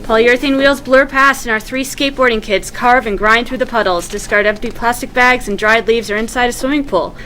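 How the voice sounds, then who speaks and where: Lively woman reading screenplay, noisy recording. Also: fairly high pitch, a little fast, few pauses. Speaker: stage directions reader. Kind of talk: scripted screenplay narration at a table read.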